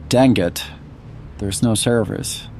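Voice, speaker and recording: A man's voice: lowish voice, slow, a little mellow, slight background noise. A man acting a wary, gloomy paranormal investigator in an audio drama. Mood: grim alarm.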